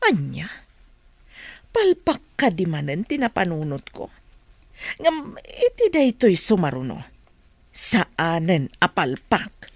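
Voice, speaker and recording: Bossy scheming mom in a radio soap, really slow delivery, dry close sound. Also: female voice, on the low side, wildly swooping pitch, dry recording, heavily acted. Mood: plotting. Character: scheming, domineering middle-aged mother. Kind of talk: Ilocano radio drama acting.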